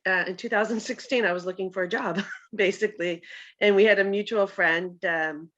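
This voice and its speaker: A woman's voice: mid-range voice, steady tone, moderate pace, fairly bright tone. A woman giving informal, somewhat hesitant personal remarks over a dull-sounding video call. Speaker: volunteer speaker at a nonprofit's online celebration.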